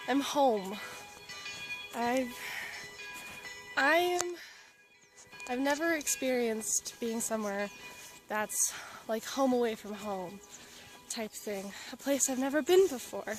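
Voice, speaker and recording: A young woman from Alaska talking to her camera while traveling, earnest and emotional, laughing often and dropping into soft breathy asides. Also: sits high, poor audio. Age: young adult. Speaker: travel vlogger. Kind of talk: casual vlog talk to camera. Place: outdoors in a mountain town.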